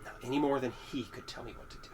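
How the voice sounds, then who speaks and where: A man's voice: mid-range voice, fairly even intonation, rapid-fire, heavy background noise, lightly acted. An actor playing a resentful, unhinged young man in a horror audio drama, with an accusatory, menacing manner. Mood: cold, menacing.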